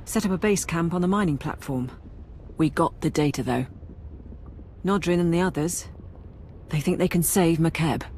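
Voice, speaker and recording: A woman playing a brisk, dry-witted Imperial military aide in a video game, businesslike and wry. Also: on the low side, a little mellow, slight background noise, natural voice. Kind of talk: video game briefing dialogue. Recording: game music behind the voice.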